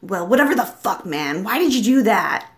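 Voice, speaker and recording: A woman voicing a livid, foul-mouthed jilted man who is loud, exasperated and accusing as she tells a story. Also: mid-range voice, sing-song, moderate pace. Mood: angry, accusing.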